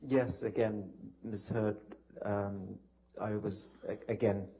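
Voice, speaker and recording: A man giving testimony as a witness in a courtroom, hesitant and measured, with halting answers. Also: fairly low pitch, flat delivery, mellow, breathy edge. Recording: noisy courtroom broadcast feed.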